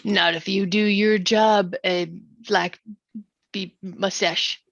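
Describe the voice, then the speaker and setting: A woman's voice: mid-range voice, takes it slowly, vocal fry, no room sound, lo-fi sound. A woman playing a dry, exasperated small-town mayor with sarcastic delivery in a comedy table read. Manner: sarcastic, deadpan.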